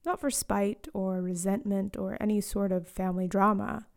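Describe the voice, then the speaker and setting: A woman in her late twenties reading a reflective personal story in a slow, lyrical way, sometimes dropping to a hush. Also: mid-range voice, little pitch movement, vocal fry. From born in Eswatini to Zambian parents, raised in South Africa, Australia and Canada. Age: late 20s. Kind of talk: scripted personal narration.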